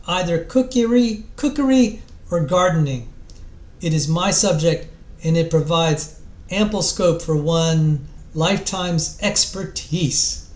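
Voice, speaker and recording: A man giving a mock-dramatic reading of a book introduction, theatrical and solemn, pausing grandly and sometimes repeating words. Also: a little high, echoey room.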